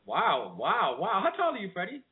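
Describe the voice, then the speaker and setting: A man from Washington, D.C., hosting a casual radio interview, chatty and quick with interjections. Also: high voice, wildly swooping pitch, husky. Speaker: radio host. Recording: noisy phone-like line.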